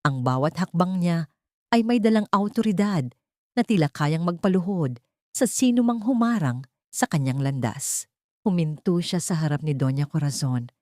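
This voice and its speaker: A woman's voice: fairly low pitch, expressive, normal speed, dark tone, no echo, clean studio sound. A woman narrating a scripted story in a dramatic style, as for an audio drama.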